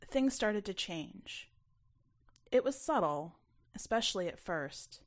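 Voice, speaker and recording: Low-pitched woman reading fiction aloud. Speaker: author reading their own short story. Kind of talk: expressive first-person fiction narration.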